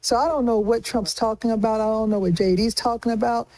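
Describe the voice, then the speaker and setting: A woman's voice: fairly high pitch, steady tone, brisk, mellow, poor audio. A woman hosting a casual news discussion in a conversational way. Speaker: host.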